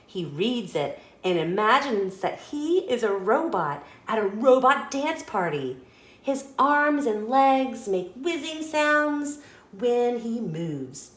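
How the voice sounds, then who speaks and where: A woman reading a children's picture book aloud as a story host, in an expressive, deliberate storytelling manner. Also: fairly high pitch, echoey room.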